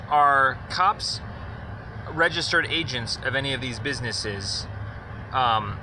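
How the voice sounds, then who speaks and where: Male caller, mid pitch, rambling and hesitant explanations, informal and wordy, clear vehicle and street noise on the recording. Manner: halting, rambling, wordy, hedging. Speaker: caller making an inquiry. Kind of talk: informal phone inquiry, explaining at length. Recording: noisy phone call with outdoor traffic.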